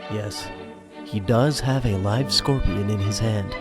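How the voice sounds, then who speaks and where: A man playing a chatty insider who narrates a high school comedy, confiding directly in the listener. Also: unhurried, natural voice. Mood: wry. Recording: music behind the voice.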